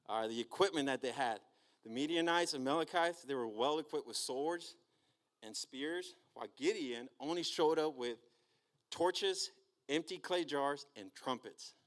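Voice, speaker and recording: A man's voice: on the high side, measured pace. A man giving an informal talk on a Bible story in church, casual and checking in with his listeners often. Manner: casual, checks in with "right?" and "okay?". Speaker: church speaker.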